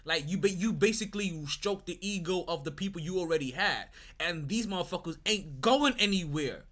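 A man co-hosting a sports podcast, teasing and rambling with quick restarts. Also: high voice, expressive, a bit bright. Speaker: podcast co-host. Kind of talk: casual chat.

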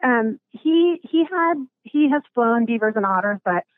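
A raspy-voiced woman from Alaska talks casually as a podcast guest, pausing on ums and circling back on her words. Her voice comes through a thin, phone-like line with no room sound around it. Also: on the high side, lively intonation, no echo. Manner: halting, many ums and restarts. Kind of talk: casual interview.

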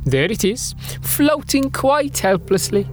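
A smug mad scientist from a sci-fi radio play. The voice swoops theatrically and sits a little high, with grand, mock-courteous phrasing. Music plays clearly underneath. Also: female voice, big pitch swings, slow pace. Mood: gloating menace. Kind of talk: sci-fi audio drama acting.

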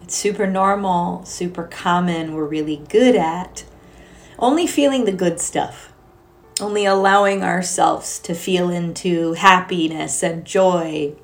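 A woman leading a guided meditation as a teacher, speaking in a gentle, soothing, unhurried way with long pauses. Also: lowish voice. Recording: soft music behind the voice. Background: music.

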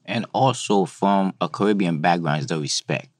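A man's voice: low-pitched, normal speed, no echo. A man from Haiti, a guest business owner, speaking in a plain, earnest way that repeats his points. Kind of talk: studio interview. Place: studio.

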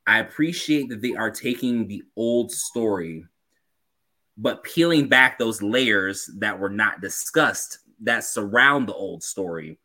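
Exuberant man, very bright, lively voice. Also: lowish voice, slow pace. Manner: exuberant, joking, preacherly flourishes. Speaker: podcast panelist. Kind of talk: casual group chat.

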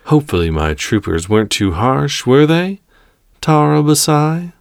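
Casting: male reader as young male space-fantasy villain, smooth, unhurried and sinister, mock-polite, talking down to people. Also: a little high, no room sound, studio quality, voice pushed up. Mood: mock courteous. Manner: smooth, drawn-out, condescending.

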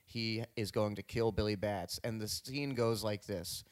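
A man's voice: on the low side, measured pace. A man in casual podcast banter, rambling and joking with lots of swearing.

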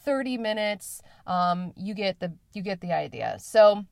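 A woman hosting her own podcast in a bubbly, chatty way, her voice a little raspy today. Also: mid-range voice, big pitch swings, unhurried, no echo, studio quality. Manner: bubbly and chatty, with many fillers and repetitions. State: slightly raspy voice. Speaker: podcast host. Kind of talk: solo podcast talk.

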